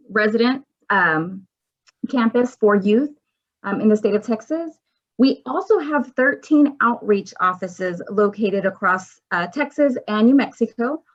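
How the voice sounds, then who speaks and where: A woman giving an informal presentation as an outreach director over a video call with dull, lo-fi sound. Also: medium pitch.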